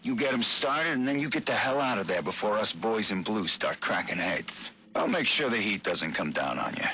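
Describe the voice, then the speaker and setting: An actor playing a crooked, coaxing street cop in a video game, talking in a slick, casual, streetwise way. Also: male voice, lowish voice, sing-song, moderate pace, sharp tone, slight background noise. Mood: slick, reassuring. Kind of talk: video game voice acting, audio log.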